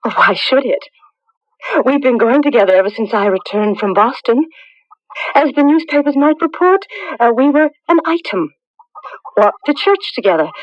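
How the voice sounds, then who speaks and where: A woman's voice: high voice, no echo. An actress playing a proud, bitter young woman in an old-time radio drama, sarcastic and intense. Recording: dull, lo-fi radio drama recording.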